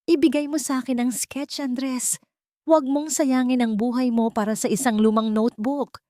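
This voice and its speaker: A woman voicing a desperate, ruthless young villainess with a shrill, menacing delivery in a dramatic audio story. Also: fairly even intonation, moderate pace, dark tone, no room sound, studio quality, natural voice. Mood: desperate, demanding. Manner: menacing, demanding.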